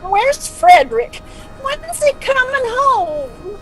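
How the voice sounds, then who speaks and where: A woman's voice: extremely high pitch, very animated, slow pace, faint music behind, full character voice. An actress playing a confused, forgetful mother in an audio drama, fretful and scolding as she complains.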